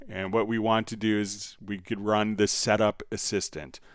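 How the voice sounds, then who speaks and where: A man hosting a software tutorial livestream, explaining step by step in an informal, halting way as he thinks aloud. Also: on the low side, level tone, dry recording, clean studio sound. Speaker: host answering viewer questions on a software livestream.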